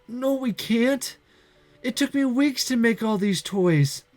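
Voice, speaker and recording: A man voicing a sweet, clumsy young female Santa who sobs over her broken toys in a high, breaking falsetto. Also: very animated, normal speed, soft background music. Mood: tearful. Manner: high breaking falsetto, sobbing and self-pitying. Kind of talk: amateur voiced story reading.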